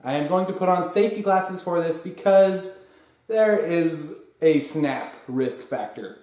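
Young man, DIY vlogger, higher-than-average voice, garage echo, far camera. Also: lively intonation, a little slow, a little mellow. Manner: plain, thinking aloud while working. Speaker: DIY tester. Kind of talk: casual workshop vlog. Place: garage workshop. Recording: echoey garage room.

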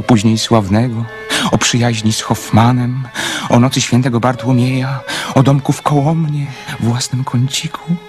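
A man's voice: medium pitch, lightly acted. A man acting in a radio drama as a lonely, shy, romantic dreamer, speaking hesitantly and poetically.

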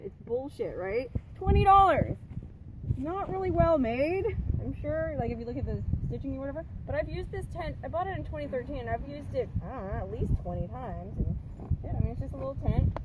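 A woman in her thirties chatting bluntly and casually about camping gear, swearing freely, at an outdoor campsite. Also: sits high. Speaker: vlogger. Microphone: camera a few feet away. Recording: distant mic with outdoor noise.

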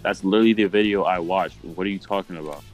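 A man's voice: deep voice, fast talker, poor audio. A young man talking casually with teammates in a gaming voice chat. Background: music.

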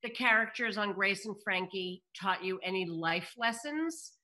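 A woman's voice: medium pitch, unhurried, sharp tone. An older woman moderating a casual group video chat, putting questions to the others. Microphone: computer mic on video call.

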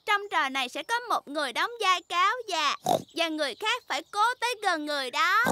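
A woman's voice: extremely high pitch, expressive, brisk, bright, forceful tone, slight background noise, exaggerated voice. A woman voicing a self-assured older girl pig cousin in a cartoon dub, bossy and playful with grown-up airs.

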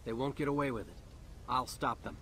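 A man's voice: mid-range voice, a little fast, a bit bright, slight background noise, close to an everyday voice. A man with an American accent playing a cocky human soldier in a video game, talking in a casual, slangy, sarcastic way. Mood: determined. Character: cocky, wisecracking human soldier, reluctant hero.

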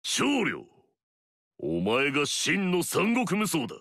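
A man's voice: fairly high pitch, huge pitch swings, slow, gravelly, a bit bright, dry recording, clean studio sound, full character voice. An actor playing an arrogant, fierce male warlord in a video game, swaggering and barking battle cries.

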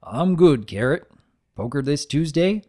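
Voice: medium pitch, expressive, unhurried, darkish tone. A big, easygoing cartoon farm stallion, acted out by a narrator. He talks in a dry, understated drawl, saying little.

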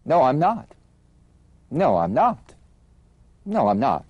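A man models a practice conversation for learners, speaking each line slowly and stressing key words. His pitch moves a lot to show intonation. The recording is dry and close. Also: wildly swooping pitch, dry recording, dull audio. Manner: repeats lines slowly, stressing words clearly. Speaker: English teacher modeling dialogue. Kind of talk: slow dialogue practice.

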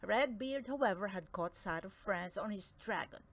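A woman narrating a children's book aloud in an expressive storytelling style. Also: medium pitch, normal speed.

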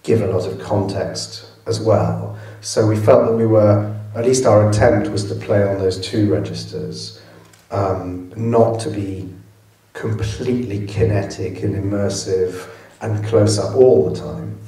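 A man's voice: lowish voice, a little slow, mellow. A male film director answering audience questions at a cinema Q&A, thoughtful and prone to restarting his sentences. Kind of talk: post-screening Q&A answers. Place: cinema auditorium. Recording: echoey hall, from far off.

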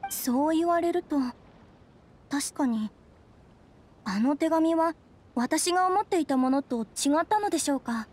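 A woman's voice: high voice, expressive, a little slow, long pauses, a little mellow. An actress playing a refined, demure young noblewoman in an anime game, very polite and a little hesitant. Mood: puzzled. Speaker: bride-to-be of a noble clan. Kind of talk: anime game voice acting, formal polite dialogue.

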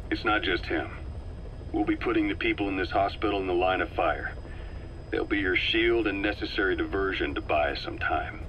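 A man acting a calm, calculating middle-aged intelligence operative in a video game, speaking in a measured, deliberate way. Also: low voice, slight background noise, poor audio. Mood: solemn. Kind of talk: dramatic game dialogue, briefing.

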